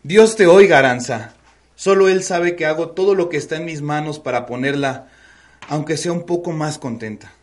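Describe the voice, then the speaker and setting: Man acting a devoted Mexican gentleman husband. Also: on the high side, normal speed, a little hiss or hum, lightly acted. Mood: earnest. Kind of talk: radio soap opera acting.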